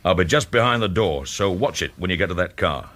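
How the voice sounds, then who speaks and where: Radio thriller heavy: big suspicious hard man, deep bass voice, curt and menacing delivery. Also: animated, fairly quick, fairly bright tone. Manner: curt, clipped, threatening, sceptical.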